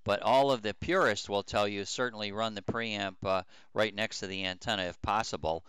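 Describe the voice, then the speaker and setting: Clear, bright, low-voiced older man. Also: steady tone, no echo. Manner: halting, repetitive, explanatory. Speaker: amateur radio operator. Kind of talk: unscripted ham radio chat.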